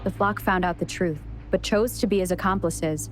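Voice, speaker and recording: A woman's voice: fairly low pitch, moderate pace, close to an everyday voice, low-quality recording. An actress playing a young female hero in a game, explaining things steadily and matter-of-factly. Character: young female hero calmly solving a riddle. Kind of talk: game cutscene voice acting. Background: game score music.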